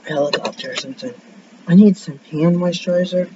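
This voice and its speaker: A woman with a raspy, deep voice rambles through a casual vlog, drifting from one tangent to the next as she vents. The recording is dull and lo-fi, with a faint hiss underneath. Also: a little fast. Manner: rambling, tangent-prone, venting. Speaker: vlogger. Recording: dull lo-fi sound with faint noise.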